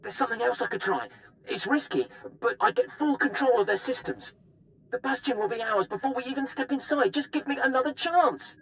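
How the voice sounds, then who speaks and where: Defensive young hacker, higher voice. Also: female voice, expressive, fairly quick, fairly bright tone, a little hiss or hum, poor audio. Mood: pleading, earnest. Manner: defensive, hesitant. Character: defensive young tech hacker, anxious to prove themselves. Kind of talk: scripted game dialogue.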